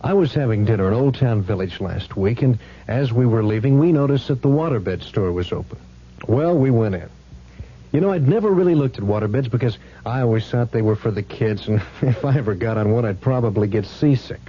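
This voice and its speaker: A man's voice: medium pitch, lively intonation, fairly quick, breathy edge. A man giving a chatty, conversational testimonial in a 1970s radio advertisement. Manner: chatty, anecdotal. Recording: dull lo-fi 1970s radio recording.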